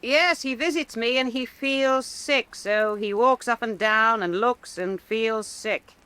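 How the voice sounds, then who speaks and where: A woman's voice: fairly high pitch, slow pace, pushed and bright, smooth, dry recording. An actress playing a blunt, sardonic woman painter of Renaissance Venice in a radio drama, with terse, dry retorts. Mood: dry, impatient.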